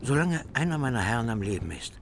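An actor playing a stubborn, unyielding captive warrior in a radio play, curt and grave. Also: male voice, medium pitch, big pitch swings, fast talker, creaky, a little hiss or hum. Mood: grim defiance.

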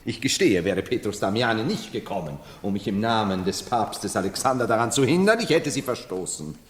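An actor playing an unsettled, imperious man who believes himself emperor, in solemn, theatrical radio drama style, halting and then rushing. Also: deep voice. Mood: agitated, imperious. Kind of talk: 1970s German radio drama acting. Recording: long hall reverb.

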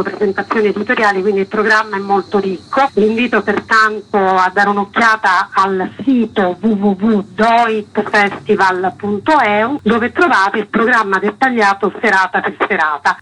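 A woman's voice: mid-range voice, fairly bright tone, breathy edge, poor audio. A woman interviewed on the radio as a festival curator, explaining her programme in detail.

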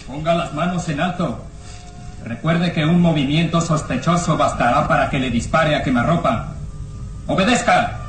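An actor playing a stern, suspicious armed police inspector in an old radio serial, barking orders. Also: male voice, on the high side, moderate pace, lightly acted, rough recording. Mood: threatening.

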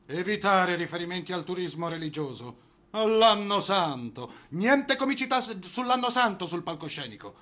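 An actor playing a pompous government theatre censor in a declamatory, officious manner, as in theatrical radio satire. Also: male voice, high voice, very animated, moderate pace, pushed and bright, heavily acted.

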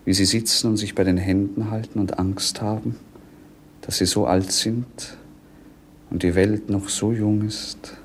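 Low-voiced, melancholy young prince. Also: male voice, fairly even intonation, measured pace, slight background noise. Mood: wistful, tender. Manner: lyrical, theatrical. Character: melancholy young prince, dreamy, world-weary, restless. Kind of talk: 1950s German radio drama acting.

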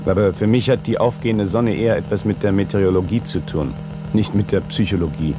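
An actor playing a sober, pragmatic technician in a dystopian radio drama, speaking in a dry, matter-of-fact way. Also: male voice, on the low side, fairly quick, over music, natural voice. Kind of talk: German radio drama acting. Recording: noisy, vehicle hum behind. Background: vehicle engine hum.

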